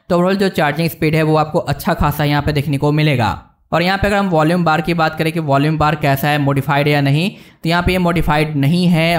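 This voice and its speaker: Casting: Indian man, somewhat high-pitched, informal tech video host walking viewers through a screen, English words mixed in. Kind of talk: casual screen-walkthrough review narration.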